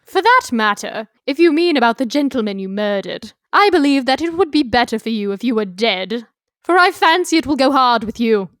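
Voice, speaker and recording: Woman acting a callous old prison nurse, high-pitched. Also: lively intonation, normal speed, a bit bright, no room sound, clean studio sound. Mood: callous, brusque. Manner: brusque, unsympathetic, self-righteous. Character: hard, indifferent old hired prison nurse. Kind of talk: dramatized literary audiobook acting.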